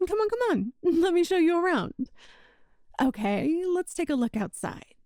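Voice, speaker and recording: A woman's voice: very high-pitched, big pitch swings, normal speed, darkish tone, no room sound, studio quality. A woman playing a sweet, possessive young witch shopkeeper in an ASMR roleplay, with a coy, doting, teasing manner. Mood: gleeful, doting. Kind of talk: ASMR romantic roleplay audio.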